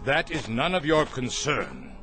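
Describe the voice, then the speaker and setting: An actor playing a stammering, cruel former camp captain in a radio drama. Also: male voice, medium pitch, huge pitch swings, a little slow. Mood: nervous. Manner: stammering, mocking laughs, then fearful. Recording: radio play with music behind.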